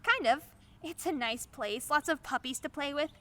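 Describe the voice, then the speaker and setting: A woman's voice: high-pitched, moderate pace, bright, forceful tone. A woman acting in a radio play as a chatty, awkward, eager-to-please young neighbor who gushes and apologizes. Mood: helpful, eager. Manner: gushing, apologetic, hesitant.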